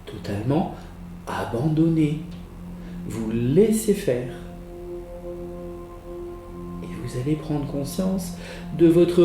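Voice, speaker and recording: Male yoga teacher for guided meditation: fairly high, slow, soothing delivery, roomy sound over soft music. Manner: soothing, measured instructions with pauses. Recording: roomy echo with music behind. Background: relaxation music.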